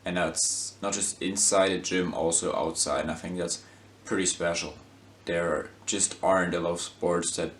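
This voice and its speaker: A man from Germany, a gymnast speaking in a steady, motivational way. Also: low voice, reverberant, slight background noise.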